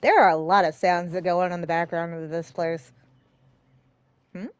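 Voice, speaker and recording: A woman's voice: on the low side, fairly even intonation, moderate pace, clear, resonant tone, no echo. A woman giving casual, reactive let's play commentary, often muttering to herself as a game streamer. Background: game music.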